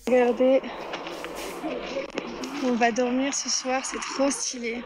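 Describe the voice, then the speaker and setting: Chatty woman, fairly high voice. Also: flat delivery, takes it slowly, heavy background noise. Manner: chatty, rambling, addresses viewers directly. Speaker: lifestyle influencer. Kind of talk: casual selfie chat to followers. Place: indoors, small wooden cabin room. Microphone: phone held at arm's length.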